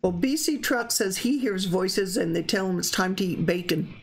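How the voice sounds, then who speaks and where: A woman's voice: mid-range voice, lively intonation, normal speed, creaky, a little hiss or hum. A woman hosting a live stream, chatting casually and reading out viewer comments in a teasing, folksy way.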